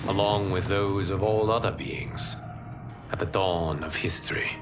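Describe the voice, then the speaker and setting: An actor playing a calm, wise male demigod in a video game cutscene, speaking in a measured, solemn way. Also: low voice, music in the background. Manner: measured, solemn, soft-spoken. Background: orchestral game score.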